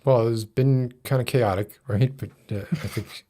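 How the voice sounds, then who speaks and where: A man hosting a casual livestream interview, chatting with halting, hesitant phrasing. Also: mid-range voice, steady tone, mellow. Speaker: livestream podcast host.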